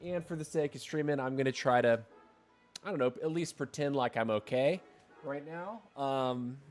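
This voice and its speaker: A man's voice: medium pitch, normal speed, soft background music. A man hosting a game livestream, talking casually while a little congested with a scratchy throat. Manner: chatty, relaxed, rambling asides. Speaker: game streamer.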